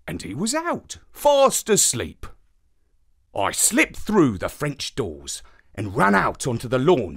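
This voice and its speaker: Guy doing a good-natured doggy storyteller for kids, medium pitch, takes his time, wry and expressive. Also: slightly rough, exaggerated voice. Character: good-natured male space-dog telling his own tale. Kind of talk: scripted children's bedtime narration.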